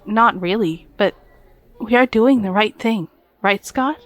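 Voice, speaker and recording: A woman's voice: medium pitch, unhurried, no echo, soft background music, natural voice. An actress playing a worried but resolute woman in an audio drama, earnest and insistent. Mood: anxious resolve. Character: worried, resolute female partner of a patient.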